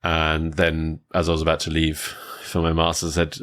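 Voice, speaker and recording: A man's voice: rumbling low, monotone, moderate pace, fairly bright tone. A man hosting a podcast interview, asking questions and sharing his own story, with many fillers and hesitations. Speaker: podcast host. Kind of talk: casual interview talk.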